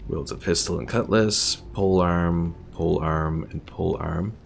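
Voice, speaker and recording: Low, gravelly male game streamer. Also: steady tone, unhurried, soft background music. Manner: musing, thinking aloud. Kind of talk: casual gameplay commentary.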